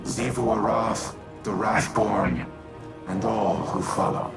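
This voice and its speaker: An actor voicing an ancient male war-mind AI in a video game, speaking in a measured, grave way. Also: lowish voice, steady tone, vocal fry. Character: ancient male warmind AI, cold and resolute. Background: loud score music.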